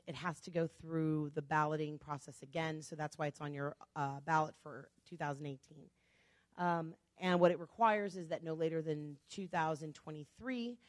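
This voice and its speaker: Low-voiced fast-talking woman speaker. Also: no room sound. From Chicago. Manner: fast-talking, chatty, jokey asides to the audience. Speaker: guest speaker. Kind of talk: public talk to a club audience.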